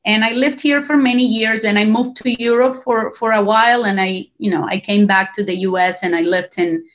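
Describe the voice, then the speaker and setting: A middle-aged woman, a native Spanish speaker with a Latin American accent, giving a personal account in an interview, earnestly and haltingly as she searches for words. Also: medium pitch, steady tone. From Cuba, grew up partly in Costa Rica. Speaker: interview guest.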